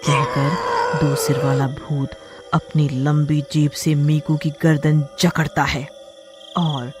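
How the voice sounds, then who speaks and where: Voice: mid-range voice, flat delivery, slow. A narrator reading a scripted horror story for a cartoon. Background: background music.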